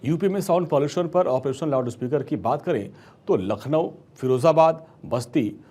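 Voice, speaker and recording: A man's voice: a little high, moderate pace, mellow. A man reading a scripted news report as an anchor, with emphatic delivery.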